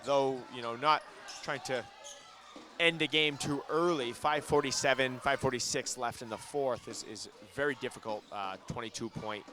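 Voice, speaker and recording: A man calling a school basketball game live, brisk play-by-play that gets loud on big shots, with a ball bouncing in the gym behind him. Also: medium pitch. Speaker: play-by-play commentator.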